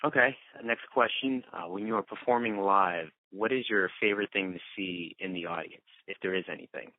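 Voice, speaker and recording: A man's voice: low voice, brisk, dry recording. A man conducting a casual interview over the phone, asking questions in an informal, chatty way. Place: on a phone call. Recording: narrow, dry phone-call audio.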